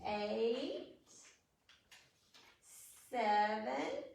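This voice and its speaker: Echoey, high-pitched American woman leading children's storytime. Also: wildly swooping pitch, extremely slow, creaky, a little mellow. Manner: simple, encouraging, sing-song teaching for young children. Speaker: children's library storytime host. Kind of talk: children's storytime lesson, counting aloud and prompting. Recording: very echoey room, distant microphone.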